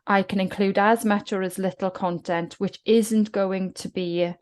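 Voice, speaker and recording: A woman's voice: mid-range voice, fairly even intonation, a little slow. A woman from South Wales with a Welsh accent, a guest talking reflectively in a podcast interview. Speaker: guest author.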